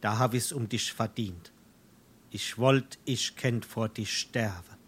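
An actor playing a lovesick, melodramatic young suitor in a dialect comedy, plaintive and earnest, with a Darmstadt accent. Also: male voice, on the low side, slow pace, fairly bright tone, husky, heavily acted. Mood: mournful. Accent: Hessian, Darmstadt dialect. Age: young adult.

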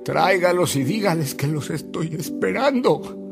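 Radio play bishop, done by a male narrator: commanding, generous, calling out high and loud. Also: animated, moderate pace, vocal fry, music in the background. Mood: insistent. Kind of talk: radio drama quotation.

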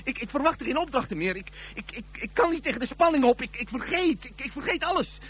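A man's voice: extremely high pitch, lively intonation, fast, fairly bright tone, slightly rough, heavily acted. A man acting a nervous, panicky secret agent in a radio drama, stammering and flustered. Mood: panicky, pleading. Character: nervous, panicky male secret agent cracking under pressure.

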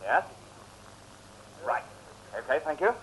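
A man's voice: on the high side, big pitch swings, slow pace. An actor playing a courteous, exclaiming Englishman with an English accent in a 1960s radio serial. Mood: confiding. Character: excitable polite Englishman, an investigator hunting diamonds. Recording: old noisy radio recording, faint hiss.

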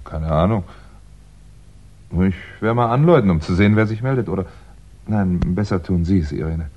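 A hunted male crime novelist in a vintage German radio thriller. The voice is low and dark with a slightly husky grain, measured and wry. The recording is dull, like old mid-sixties radio. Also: animated. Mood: concerned. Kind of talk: 1960s German radio crime play acting.

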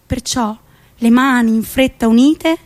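A woman's voice: fairly high pitch, unhurried, darkish tone, close to an everyday voice. An actress playing a spirited, slightly eccentric young woman of today in a theatrical monologue for a radio play. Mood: declaiming. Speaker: stage actress.

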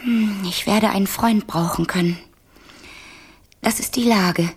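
Husky, sulky teenage princess. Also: female voice, mid-range voice, a little slow. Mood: defiant, dry. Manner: sullen, defiant, dry. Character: stubborn, sulky fifteen-year-old princess. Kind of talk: radio play acting.

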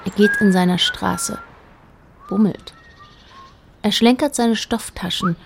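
A woman's voice: medium pitch, lively intonation, slow pace, vocal fry, noisy background. A woman narrating a literary radio play as a detached, observant storyteller, in short plain sentences.

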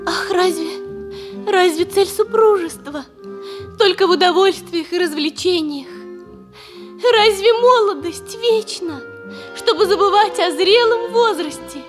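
A woman's voice: very high-pitched, a little slow. An actress playing an earnest, sensible young lady in a 1960s radio comedy, genteel and sweetly insistent in a theatrical way. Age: young adult. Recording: 1960s radio play, faint music.

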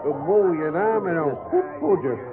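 Drunken boastful businessman, high voice. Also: sing-song, a little slow, loud music behind the voice, full character voice. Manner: slurred, boastful, repeating himself. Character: drunken, self-satisfied manufacturer boasting of good deeds. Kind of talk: radio play acting. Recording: narrow phone-like radio sound, crowd behind.

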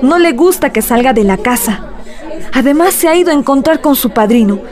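Anxious high-voiced wife. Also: female voice, expressive, a little fast, darkish tone, some chatter behind. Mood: anxious, hurried. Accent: Peruvian Andean. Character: anxious wife in a hurry, afraid of husband. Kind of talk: radio soap opera acting.

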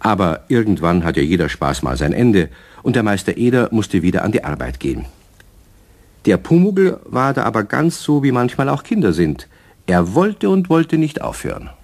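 A man's voice: lowish voice, sing-song, moderate pace, a little mellow. A man playing the narrator of a children's radio play, telling the story expressively to young listeners. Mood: amused telling.

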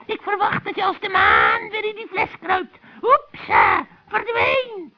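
Cranky scheming old witch, very high shrill cackle, scolding and wheedling, muffled children's radio play. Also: normal speed, vocal fry. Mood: irritated scolding.

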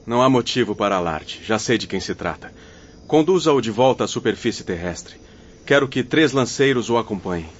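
Mid-range male voice, Brazilian accent, acted brisk spirit leader, commanding, formal, radio drama, dull sound, faint night insects. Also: medium pitch, animated, a little hiss or hum, close to an everyday voice.

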